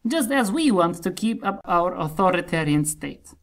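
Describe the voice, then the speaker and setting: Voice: medium pitch, lively intonation, normal speed, lightly acted. Performer as Russian autocrat, cynical, commanding, sardonic, declamatory rhymed satire. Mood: shrewd, persuasive.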